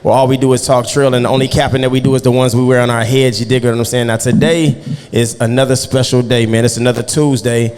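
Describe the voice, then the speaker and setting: A man's voice: medium pitch, level tone, fast talker, a little mellow, smooth, big-room sound. A man hosting a casual podcast interview, laid-back and slangy, often asking "you know what I'm saying.". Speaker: podcast host.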